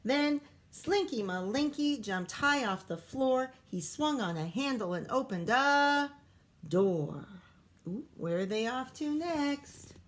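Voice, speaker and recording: Primary teacher, female, slightly high voice, very measured pace; expressive children's read-aloud with coaxing asides. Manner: expressive, sing-song story reading, coaxing questions to young listeners. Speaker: primary school teacher reading aloud to pupils.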